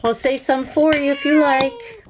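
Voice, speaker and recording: An actress playing a composed, gently admonishing wife in a radio play, with a gentle but firm manner. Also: female voice, high-pitched, lively intonation, unhurried, noisy background. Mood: matter-of-fact.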